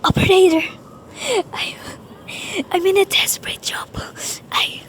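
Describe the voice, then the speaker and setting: Woman acting very high-pitched, nervous invalid wife. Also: level tone, measured pace, a bit bright, whispered. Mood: terrified whisper. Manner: nervous, imperious, breathless urgency. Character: panicked, demanding bedridden invalid wife, alone and terrified. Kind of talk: radio play acting.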